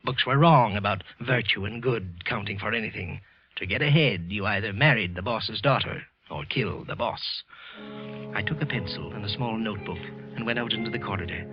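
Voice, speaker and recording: A man's voice: lowish voice, brisk, lightly acted. A man acting a nervous, resentful clerk who secretly killed, with a hesitant, evasive manner, in an old-time radio suspense play. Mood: bitter, gloating. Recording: muffled vintage radio recording, background music.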